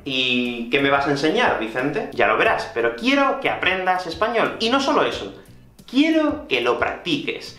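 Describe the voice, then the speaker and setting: A Spanish man in his thirties gives a lively online lesson, his voice swinging up and down as he gestures. He repeats key phrases slowly for learners. The small room rings with echo under faint background music. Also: fairly high pitch. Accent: Spanish from Spain. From Spain. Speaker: Spanish teacher. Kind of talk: YouTube language lesson to camera. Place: small room with whiteboard and shelves, indoors.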